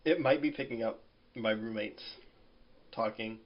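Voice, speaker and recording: A man's voice: lowish voice, measured pace, muffled recording. A man co-hosting a podcast from home, talking in casual chat. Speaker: podcast co-host, fandub crew member. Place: at home, thin-walled room.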